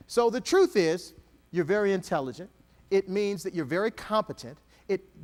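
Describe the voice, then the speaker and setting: Animated Texan man on stage. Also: high voice. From Texas. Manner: animated, dramatic pauses, slow emphatic dictation; playful, jokes with the audience. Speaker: motivational speaker. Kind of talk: keynote speech to an audience.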